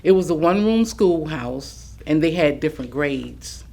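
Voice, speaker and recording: A woman's voice: sits low, lively intonation, normal speed, creaky, dark tone, full character voice. An actress playing a chatty, self-assured elderly Southern woman, cheerfully boasting about childhood in a staged reading. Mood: proud, playful. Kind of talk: staged reading of oral history testimony.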